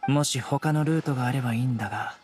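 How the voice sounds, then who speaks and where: A man's voice: mid-range voice, quick pace, darkish tone, soft background music, close to an everyday voice. A man playing a stern young law enforcer in a Japanese video game, composed, blunt and commanding. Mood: stern, cool.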